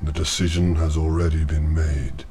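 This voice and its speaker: A man's voice: flat delivery, dark tone. An actor playing a cold, commanding male demon priest in a horror radio play, taunting and menacing. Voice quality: deep, slow and menacing. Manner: taunting, deliberate.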